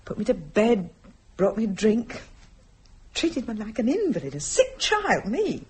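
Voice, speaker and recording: An actress playing an anxious wife confiding her fears haltingly and intensely in a radio play. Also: on the high side, huge pitch swings, husky, heavily acted. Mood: fearful, brooding.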